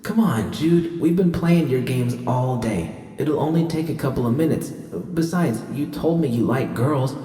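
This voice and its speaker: A man's voice: medium pitch, lively intonation, a little slow, hardly any pauses, warm tone, roomy sound, big-room sound, recorded from a distance, voice pushed up, lightly acted. A man voicing a cocky, manipulative young girl in an audiobook, wheedling and persuasive. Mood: coaxing.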